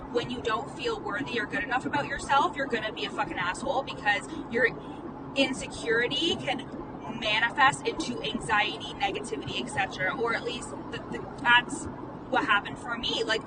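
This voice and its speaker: A woman's voice: fairly high pitch, moderate pace, over a noisy crowd. A woman in her late twenties, a life coach who stutters, chatting candidly in a casual vlog monologue while she drives, full of "like" fillers and the odd swear. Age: late 20s. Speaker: life coach and podcast host. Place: in a car. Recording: distant phone mic in a noisy car. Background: car and road noise.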